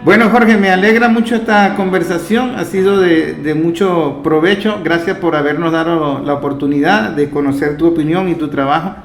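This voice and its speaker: Higher-voiced Venezuelan man interviewing, echoey room. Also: fairly even intonation, normal speed, hardly any pauses, slight background noise. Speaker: interviewer, host. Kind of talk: conversational interview, opinionated discussion. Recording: echoey, roomy sound.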